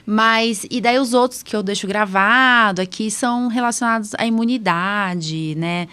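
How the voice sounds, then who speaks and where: A Brazilian woman talks as the host of a podcast. Her delivery is informal and chatty, with little tag questions seeking agreement. Her voice sits at an ordinary female pitch. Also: normal speed, sharp tone, clean tone. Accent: Brazilian Portuguese. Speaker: podcast host, allergist doctor. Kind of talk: casual podcast conversation.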